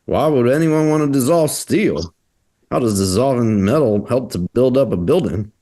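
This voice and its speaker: A man's voice: medium pitch, animated, moderate pace, dark tone, natural voice. A man playing an earnest, inquisitive amateur sleuth in a scripted table read. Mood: curious.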